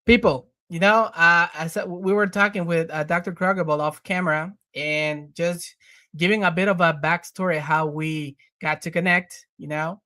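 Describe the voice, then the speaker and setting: A man's voice: a little high, steady tone, normal speed, sharp tone, dry recording, clean studio sound. A man hosting a podcast interview, talking casually with many fillers and restarts. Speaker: podcast host.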